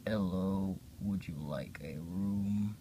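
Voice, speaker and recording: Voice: low voice, takes it slowly, dark tone, slight background noise. A person reading a comic aloud casually, with hesitant, self-correcting and apologetic asides, recorded on a handheld device at home. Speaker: storyteller reading own hand-drawn comic. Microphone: handheld phone or camera pointed at pages.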